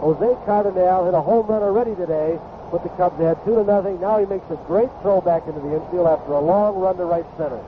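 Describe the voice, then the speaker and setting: High-voiced male baseball analyst on old radio. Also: fast, few pauses. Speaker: baseball color commentator. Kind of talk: conversational live radio sports analysis. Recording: noisy phone-like old radio broadcast.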